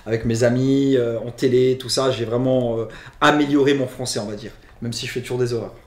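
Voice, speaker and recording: A man's voice: medium pitch, steady tone, brisk, darkish tone, reverberant. A man from Luxembourg with a Luxembourgish accent, chatting casually as he answers fan questions and often restarts his phrases. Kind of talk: casual Q&A chat.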